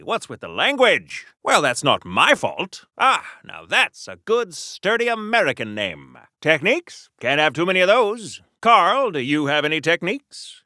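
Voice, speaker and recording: An actor voicing a chipper, naive old-fashioned superhero for a video game, with theatrical, politely oblivious, mock-formal delivery in a 1940s radio style. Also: male voice, high voice, sharp tone, no room sound, clean studio sound, exaggerated voice. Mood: cheerful, curious.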